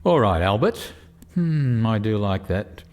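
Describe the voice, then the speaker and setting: An actor playing a frank, inquisitive alien official in a radio play, speaking in a measured, formal way. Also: male voice, on the low side, sing-song, a little mellow, faint music behind. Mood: curious. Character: male alien official, curious, frank non-technical visitor.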